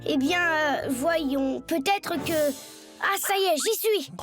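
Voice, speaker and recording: A good-natured young cartoon schoolboy, with a very high voice. He sounds earnest, polite and boyishly hesitant. Music plays clearly underneath. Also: slow. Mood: puzzled, wishful. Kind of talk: French cartoon voice acting. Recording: music behind the voice.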